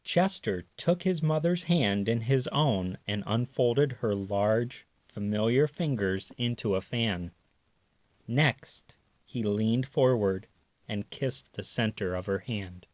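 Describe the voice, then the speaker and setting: A man narrating a children's story aloud with careful, expressive delivery. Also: lowish voice, slow pace, no echo. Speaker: storybook reader.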